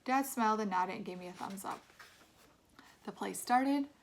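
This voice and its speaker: Expressive woman reading a story aloud. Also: medium pitch, normal speed, a little hiss or hum. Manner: expressive, acts out dialogue. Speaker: reader of a children's novel aloud. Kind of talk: read-aloud storytelling in a child's first person.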